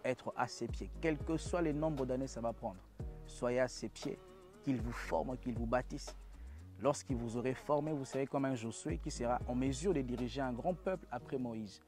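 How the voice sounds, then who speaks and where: A man's voice: medium pitch, normal speed, darkish tone, soft background music. A young man from Congo, a pastor, telling his personal testimony in an earnest, preacherly way, with hesitant restarts.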